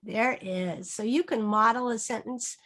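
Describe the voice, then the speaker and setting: A woman teaching through an interactive children's read-aloud, modeling phrases slowly and asking prompting questions. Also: fairly high pitch, bright, forceful tone. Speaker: reading teacher. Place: online video session.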